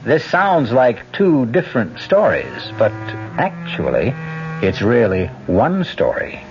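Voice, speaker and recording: A man's voice: mid-range voice, sing-song, takes it slowly, a little hiss or hum. A man giving a suspenseful scripted introduction to a radio mystery, on a dull vintage recording. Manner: suspenseful storytelling. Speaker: episode narrator. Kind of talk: scripted dramatic narration.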